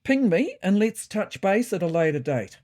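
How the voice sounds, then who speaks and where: A woman's voice: mid-range voice, big pitch swings, moderate pace, a little mellow, pitched above the performer's own voice, close to an everyday voice. Storybook tomcat spin doctor, played by a woman: bluff, corporate, self-assured, with swooping emphatic delivery. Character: jargon-spouting, self-assured male cat political aide. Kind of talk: audiobook storytelling.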